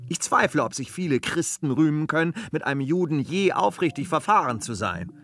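An actor playing a refined, earnest gentleman and exasperated master in a German radio comedy, formal, articulate and reproving. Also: fairly high pitch, animated, a little fast, faint music behind. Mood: reflective, indignant.

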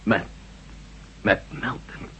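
An actor playing a badly wounded Russian space official in a radio drama, speaking haltingly and short of breath as he pleads urgently. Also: pushed and bright, poor audio. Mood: weak, halting. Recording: radio recording with faint noise.

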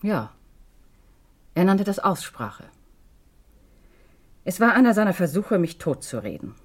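A woman's voice: fairly low pitch, very animated, a little slow, husky, exaggerated voice. An actress playing a middle-aged divorced mother in a German radio crime drama, devoted to her children and bitter about her past. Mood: bitter, weary.

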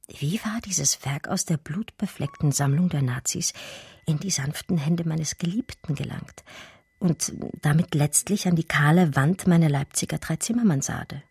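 Low, mellow-voiced woman art historian. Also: normal speed, husky, dry recording, exaggerated voice. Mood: stunned, bewildered. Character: female art historian recounting her discovery in first person. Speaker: actor in radio drama. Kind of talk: dramatic first-person radio play narration.

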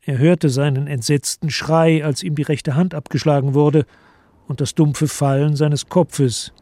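A man narrating a literary radio play from a script in a measured, solemn manner. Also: on the high side, steady tone, warm tone, dry recording, studio quality.

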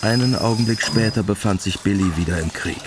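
A man's voice: lowish voice, fairly quick, noisy background. A man narrating a scripted radio drama as its storyteller. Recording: music behind.

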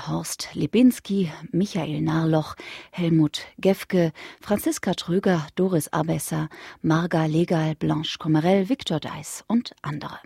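Deep, creaky-voiced woman radio announcer. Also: warm tone, no room sound, clean studio sound. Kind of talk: scripted radio credits announcement. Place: dry radio studio.

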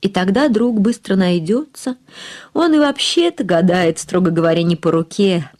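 A woman narrating a literary story as an actress would, with expressive, reflective and deliberate phrasing. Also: mid-range voice.

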